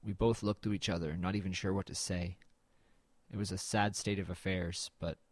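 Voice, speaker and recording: A man acts out a weary, wry young man from a bleak road-trip audio drama. The voice sits very low and is recorded dry and close. He narrates and talks in an understated, brooding manner. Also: fairly even intonation, moderate pace, no echo. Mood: somber narrating. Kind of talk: scripted first-person narration and low-key dialogue in an audio drama.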